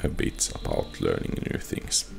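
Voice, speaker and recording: A man's voice: a little slow, over music. A man giving casual gameplay commentary, thinking aloud as he sorts through items. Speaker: gameplay streamer.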